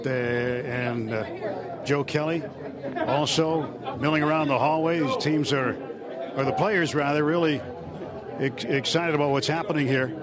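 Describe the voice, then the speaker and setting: A man, a radio baseball broadcaster, asking post-game interview questions in a smooth, practiced way, reeling off stats, in a hallway outside a clubhouse. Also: fairly high pitch, fairly even intonation, unhurried. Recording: noisy celebration crowd behind.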